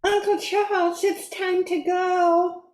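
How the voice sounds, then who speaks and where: In a roomy, echoing recording, a sweet young woman, played by an actress speaks earnestly. Her voice is high and unhurried, innocent yet spirited, in radio drama style. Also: flat delivery, background noise. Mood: urging. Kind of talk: amateur radio drama acting.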